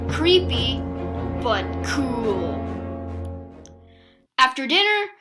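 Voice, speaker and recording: A woman reading a spooky children's picture book aloud in a theatrical, suspenseful way with deliberate pauses. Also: high-pitched. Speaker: children's storybook reader.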